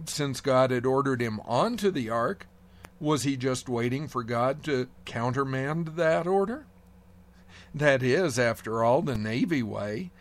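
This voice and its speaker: Slow, measured man reading a devotional. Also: medium pitch. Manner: measured, reflective, gently wry. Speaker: devotional speaker. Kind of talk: reading a prepared devotional essay.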